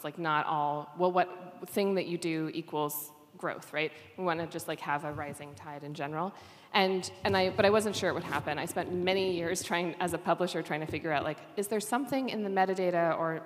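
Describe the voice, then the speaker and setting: Low-voiced woman panelist in an echoey hall. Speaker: podcast network executive, panelist. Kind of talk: panel discussion, conversational. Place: conference session room. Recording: echoey hall, long reverb.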